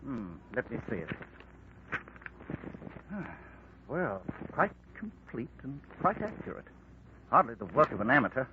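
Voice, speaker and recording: A stuffy police inspector. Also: male voice, mid-range voice, sing-song, measured pace, pauses often. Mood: wary. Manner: formal and clipped. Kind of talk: 1940s radio acting. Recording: faint hiss, lo-fi old radio recording.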